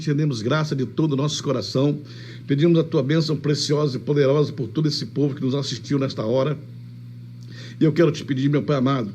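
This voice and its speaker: A man's voice: on the high side, mellow, a little hiss or hum. A man preaching fervently in a livestream sermon, repeating blessings and greeting viewers. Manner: fervent, repeats blessings, switches into Spanish phrases. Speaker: apostle preaching online. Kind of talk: livestream sermon with shout-outs.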